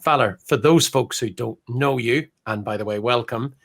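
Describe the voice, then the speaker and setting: A man's voice: mid-range voice, normal speed, creaky, a bit bright. Male podcast host, scripted intro, courteous questions. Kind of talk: interview hosting.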